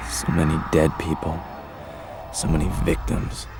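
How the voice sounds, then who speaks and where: Very deep, brooding horror survivor. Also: male voice, monotone, measured pace, over loud music. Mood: grim, haunted. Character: grim, haunted male survivor of a zombie massacre. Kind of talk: film voice-over narration.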